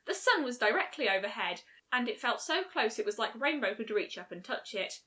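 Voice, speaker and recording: A woman narrates a piece of fiction from a script. Her voice is bright and fairly high, with animated, varied intonation. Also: a little fast. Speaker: fan fiction reader.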